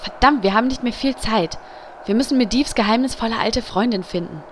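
High-voiced, sharp-tongued undead priestess played by a woman. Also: fairly quick, natural voice. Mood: dry, brisk. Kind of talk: German fantasy parody audio drama. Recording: background noise.

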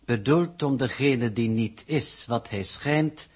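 Slow, husky, formal alien coordinator. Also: male voice, mid-range voice. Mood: grave, formal. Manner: stilted, formal, ponderous phrasing. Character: formal, pedantic alien coordinator in disguise, reporting to viewers. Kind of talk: 1960s Dutch radio drama acting.

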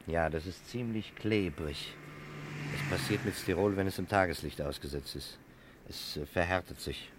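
An actor playing a brisk, courteous investigator in a 1960s German radio crime drama, asking questions in a matter-of-fact way. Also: male voice, low voice, lightly acted. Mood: thoughtful. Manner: polite, inquisitive, matter-of-fact. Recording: noisy 1960s radio play recording.

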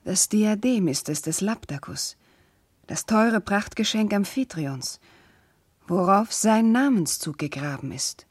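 A woman's voice: mid-range voice, animated, unhurried, slightly rough, dry recording. A woman playing a young, noble princess, anguished and bewildered, in solemn, fervent German classical verse radio drama. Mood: shaken, pleading.

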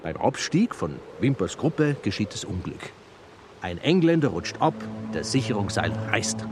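A proud mountaineer presenting his adventures for a radio play. He tells them theatrically, with a swooping, rather low voice. Outdoor noise sits clearly behind him. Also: big pitch swings, measured pace. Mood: dramatic. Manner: theatrical, storytelling. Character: proud male mountaineer-filmmaker presenting his own film. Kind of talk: radio drama acting, film narration.

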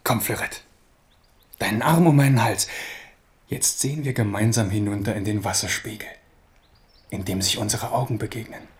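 An actor playing a gentle young prince in love in a radio play, speaking softly and romantically in measured phrases. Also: male voice, fairly low pitch, lightly acted. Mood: romantic, wistful. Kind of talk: German radio play acting.

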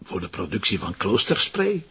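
An actor playing a wry, irreverent police commissioner with a Flemish accent and a husky voice, in a detective drama. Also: male voice, on the low side, wildly swooping pitch, normal speed, a bit bright, muffled recording, exaggerated voice. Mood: wry, joking. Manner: sardonic, informal, hesitant openings. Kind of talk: naturalistic detective drama dialogue.

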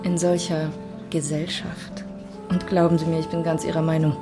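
An actress plays a snobbish society lady in a radio drama. Her voice is fairly low, haughty and insinuating, full of disdain, with instrumental music clearly behind her. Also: flat delivery, normal speed, lightly acted. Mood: disdainful, confiding. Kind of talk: German radio drama acting.

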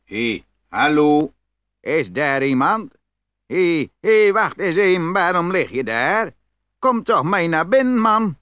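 A man's voice: on the high side, big pitch swings, slow, a bit bright, dry recording, full character voice. A man playing a bossy, blustery, hearty farmer in a fairy tale audio play.

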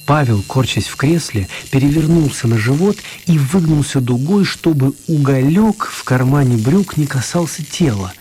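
A man narrating a children's radio play as scripted, expressive storytelling. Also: mid-range voice, normal speed, few pauses, a little hiss or hum.

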